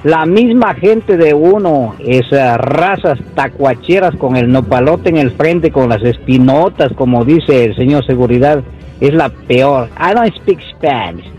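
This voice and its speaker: A man's voice: medium pitch, expressive, a little slow, a little mellow, faint music behind. A man calling in to a radio show, blunt and mocking. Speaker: caller. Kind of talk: phone-in comment. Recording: dull phone line on radio.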